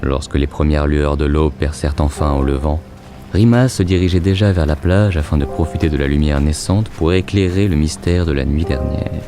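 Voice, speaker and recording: A man narrating a scripted fantasy story in a measured, literary storytelling manner. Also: rumbling low, over music.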